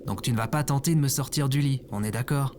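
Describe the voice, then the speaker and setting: An actor playing a lazy, grumbling slacker man in his thirties, casual, slangy and deadpan, in an acted audio comedy. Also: mid-range voice, fast talker, noisy background, lightly acted. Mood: sleepy, grumpy.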